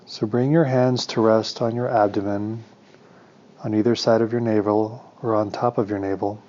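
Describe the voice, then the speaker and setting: Man, meditation guide, lowish pitch, flat soothing intonation, unhurried pauses, calm instructional delivery, slightly muffled sound. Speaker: meditation teacher.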